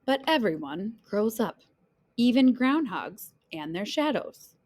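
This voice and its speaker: A woman with a fairly high, very lively voice reads a children's picture book aloud. She takes her time, phrasing each line deliberately and dramatically, as a storyteller does for young listeners. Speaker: read-aloud storyteller, teacher.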